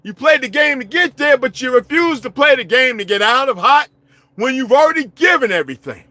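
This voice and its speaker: A middle-aged man delivering a heated, profane vlog rant, swinging from low muttering to shouting. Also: animated, fairly quick, no room sound. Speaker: vlogger. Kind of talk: profane ranting monologue.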